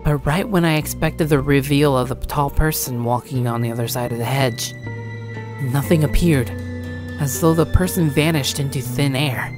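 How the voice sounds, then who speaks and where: A woman's voice: a bass voice, moderate pace, music in the background. A woman giving a scripted first-person horror story narration.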